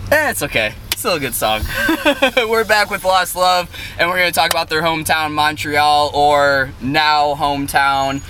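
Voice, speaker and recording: Bright-toned casual male host. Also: fairly high pitch, measured pace, hardly any pauses, recorded from a distance, slight background noise. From Chicago area. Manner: relaxed, laughs often, loose questions. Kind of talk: casual interview chat.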